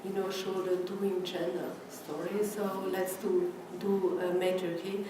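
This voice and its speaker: Woman, Austrian German accent, conference lecture, searching for words, strong room echo, clearly noisy recording. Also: fairly low pitch, flat delivery, a little slow. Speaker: academic researcher, speaker. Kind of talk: conference lecture in non-native English. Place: presentation room with an audience. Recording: echoey room, distant mic, noisy background.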